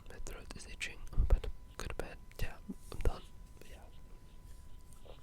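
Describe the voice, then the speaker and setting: The voice is a pure breathy whisper with no tone behind it. The delivery is an informal ASMR ramble, halting and loosely strung together, like a gamer chatting quietly. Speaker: video game ASMR creator.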